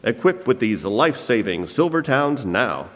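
A man's voice: medium pitch, big pitch swings, normal speed. A man announcing and narrating in a scripted, old-time radio style. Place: church hall, live performance. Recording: reverberant hall stage recording.

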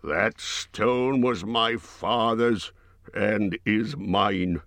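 A proud, wrathful dwarf king with a deep, low voice that drags out each stern word, as a man reads him aloud. He speaks slowly and forcefully, and the sound is dry and close. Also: no echo. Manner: slow, stern, forceful delivery.

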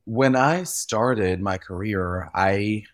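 Guy, really low voice, dry sound, talks animated and stumbles over words a bit. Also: very slow, no room sound. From Belgium. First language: French. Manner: animated, repeats words while gathering thoughts. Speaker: guest, retail visual expert. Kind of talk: conversational podcast interview.

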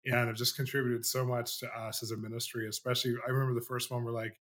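A man hosting an interview show, reading a scripted intro and then chatting quickly and enthusiastically with guests. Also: medium pitch, flat delivery, fairly bright tone. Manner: fast-talking, enthusiastic. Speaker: podcast host.

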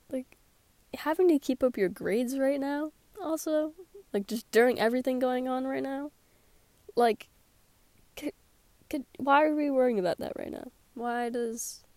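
A woman's voice: fairly high pitch, a little mellow, no room sound. A teenage girl with a sore throat, chatting casually and sarcastically on her own podcast. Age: teens. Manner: sarcastic, rambling, laughs often. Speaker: podcast host. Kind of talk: casual solo podcast chat. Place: small closet.

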